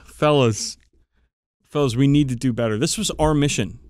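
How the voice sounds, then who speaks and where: A man's voice: mid-range voice, moderate pace, darkish tone, clean tone, dry recording, clean studio sound. A man co-hosting a podcast in casual chat, emphatic, irreverent and full of swearing. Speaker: podcast co-host. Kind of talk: casual banter about tabletop wargaming.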